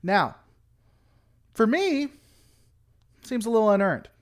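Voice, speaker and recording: A man's voice: high voice, very animated, takes it slowly. A man hosting a casual livestream, rambling through an opinionated critique and answering chat. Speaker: livestream host.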